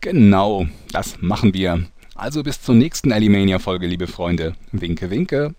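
Man as melodramatic narrator, lowish voice, jovial, scripted parody serial. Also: animated, measured pace, warm tone. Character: melodramatic, jovial male storyteller.

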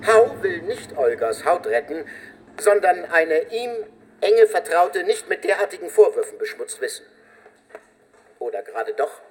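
A man narrating a radio drama from a script in a measured, literary storytelling manner. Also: a little high, poor audio.

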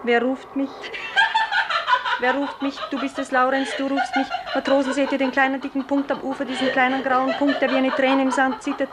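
A woman's voice: high voice, monotone, fairly quick, lightly acted. An actress playing a dreamy, wilful young woman who yearns for the sea, lyrical and declamatory in 1950s German radio play style. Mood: mocking. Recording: roomy, hissy 1950s radio drama recording.

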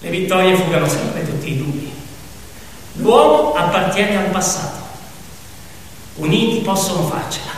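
An actor playing a grave, dramatic male narrator of a farm fable, speaking in deliberate, emphatic phrases as in radio theatre. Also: high-pitched, lively intonation, slight background noise. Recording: very echoey hall, distant, music. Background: music.

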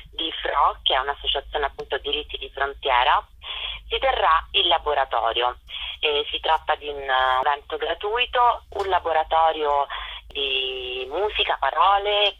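A woman's voice: sits low, bright, forceful tone, husky, no echo. A woman being interviewed on radio by telephone, informally explaining her arts project with frequent digressions. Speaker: author and actress, interview guest. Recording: muffled phone call to radio.

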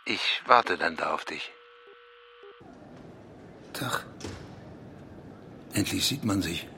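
An actor playing a brooding East German writer, terse and awkward, speaking in halting, clipped phrases in a literary audio drama. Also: male voice, low voice, animated, vocal fry, background noise, full character voice.

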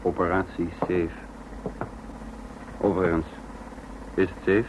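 A deep clipped mission boss in a radio play, careful and in command, faint noise behind. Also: male voice, little pitch movement, slow, highs cut off. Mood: commanding, cautious. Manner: terse, clipped, controlled. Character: commanding, careful male chief of covert break-in team. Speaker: narrator and lead character. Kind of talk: radio drama acting and narration.

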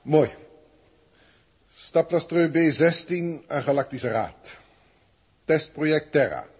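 Husky, pompous alien elder. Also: male voice, a little high, a little mellow, full character voice. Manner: pompous, formal, emotionally indignant. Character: haughty, irritable senior alien proctor reporting on Terra. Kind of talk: 1960s Dutch radio play acting.

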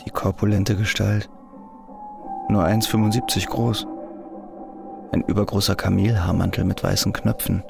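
A man's voice: lowish voice, little pitch movement, normal speed, creaky, long pauses, over music. An actor voicing the introspective inner thoughts of a troubled male prisoner in a radio drama. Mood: brooding.

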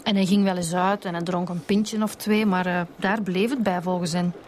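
A woman's voice: lowish voice, fairly even intonation, normal speed, a little mellow, slight background noise, close to an everyday voice. An actress playing an earnest female Flemish police detective in a naturalistic radio drama.

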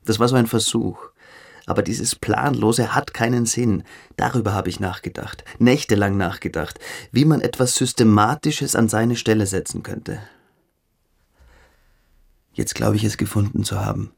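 Brooding schoolboy mystic, low and creaky. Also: male voice, moderate pace. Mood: brooding, earnest. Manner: intense, lecturing, pompous. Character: brooding, fanatical teenage schoolboy mystic philosopher. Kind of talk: radio play acting.

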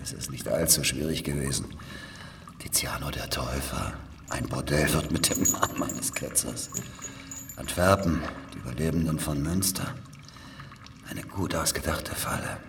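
An actor playing a weary, cynical old spy in a German radio drama, sighing and speaking in a deliberate, knowing way. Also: male voice, very deep voice, vocal fry, background noise. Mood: wry, resigned.

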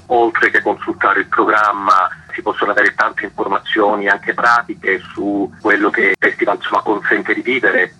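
A man with a husky voice, explaining things as a guest festival organiser in a radio interview over the phone. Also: on the low side, bright, forceful tone, low-quality recording.

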